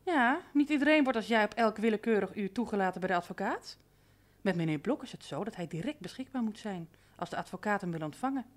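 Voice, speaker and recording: A woman's voice: medium pitch, expressive, fast talker, natural voice. A woman playing a bossy, familiar housekeeper in a radio drama, reproachful and chiding. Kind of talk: radio drama acting in Dutch.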